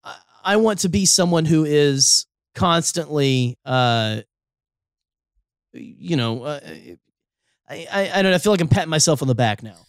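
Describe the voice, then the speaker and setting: Young man, podcast co-host, earnest, rambling, slightly high voice, dry sound. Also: lively intonation, measured pace, smooth, no room sound. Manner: rambling, earnest, many restarts and fillers. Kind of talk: casual podcast chat.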